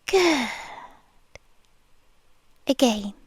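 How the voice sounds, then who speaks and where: A woman's voice: high-pitched, lively intonation, husky, dry recording, exaggerated voice. A woman with a British accent voicing an obsessive, possessive young nurse in a whispered ASMR roleplay, speaking in an intimate, teasing murmur. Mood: whispery possessive. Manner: slow, intimate, teasing purr with frequent whispers.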